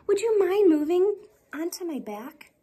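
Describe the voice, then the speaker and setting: A sly, smiling male fox, put on by a woman reading aloud, coaxing his prey in a smooth tone. His voice is high and lilting, as in a storybook. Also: big pitch swings, unhurried, warm tone, full character voice.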